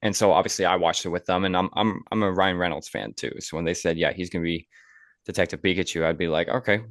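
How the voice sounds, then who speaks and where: A man's voice: deep voice, steady tone, fast talker, no room sound, studio quality. A young man hosting a casual podcast chat, talking in a rambling way and repeating his phrases. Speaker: podcast host.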